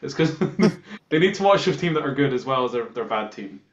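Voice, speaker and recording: A man's voice: mid-range voice, animated, moderate pace. A man with a Scottish accent, a band musician chatting casually as an interview guest. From Scotland. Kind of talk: casual chat. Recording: roomy, dull lo-fi call sound.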